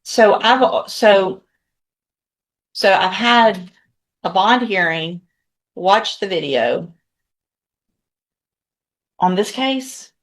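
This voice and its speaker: A woman's voice: medium pitch, expressive, very slow, long pauses, low-quality recording. A woman judge presiding in a courtroom, giving rulings and putting questions to lawyers and witnesses.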